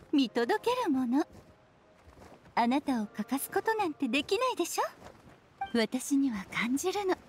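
Playful witch, high and lilting. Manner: teasing, unhurried, coy. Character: playful, mysterious, self-assured witch, creator of a fairy-tale world. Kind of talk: anime video game voice acting.

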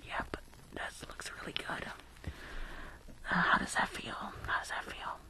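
Whispering woman in dentist ASMR roleplay. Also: low-quality recording. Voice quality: breathy whisper with creaky edges. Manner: halting, repetitive, dismissive reassurance. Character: rude, shady, dismissive woman dentist.

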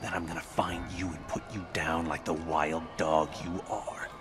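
Male actor as grim game vigilante, deep, wisecracking, determined, noir style, heavy music underneath. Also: level tone, moderate pace, close to an everyday voice. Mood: grim resolve. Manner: hard-boiled, wry, determined. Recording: loud music behind the voice.

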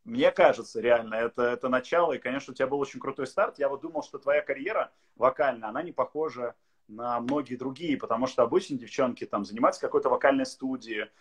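A man in his thirties hosting a casual video call interview from a room, relaxed, with many filler pauses. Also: medium pitch, breathy edge. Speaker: live-stream host. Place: indoor room.

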